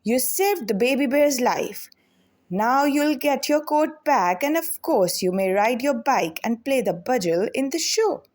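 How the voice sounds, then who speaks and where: A woman reading the part of a brisk, authoritative male show director in a children's storybook. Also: sits high, animated, darkish tone. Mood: praising. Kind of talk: children's storybook read-aloud.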